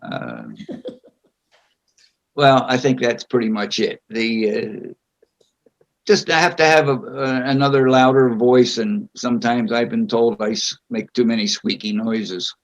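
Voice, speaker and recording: An older man answering interview questions at a public meeting over a video call, speaking slowly and haltingly with frequent pauses. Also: mid-range voice. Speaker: board candidate being interviewed. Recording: dull lo-fi video call audio.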